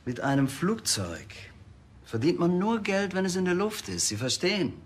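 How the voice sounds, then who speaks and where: Ein Schauspieler spielt einen jovialen Musikmanager Anfang fünfzig, kumpelhaft und verkäuferisch. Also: male voice, high voice, sing-song, normal speed. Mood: slick, businesslike. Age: 50s. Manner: jovial, salesy. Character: smooth, chummy music manager in his early fifties. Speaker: artist manager.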